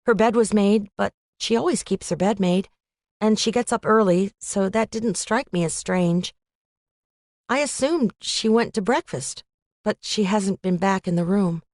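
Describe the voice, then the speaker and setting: An anxious young college girl, pleading and raised in pitch over her normally low voice, as a woman narrator puts her on. Close, dry audiobook sound. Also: a little slow, a little mellow, no echo, lightly acted. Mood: worried. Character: worried young female college roommate.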